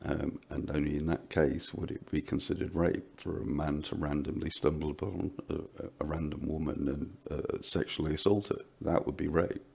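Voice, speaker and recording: Very deep, gravelly man, halting. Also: level tone, darkish tone. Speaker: panelist on a commentary show. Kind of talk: casual discussion commentary.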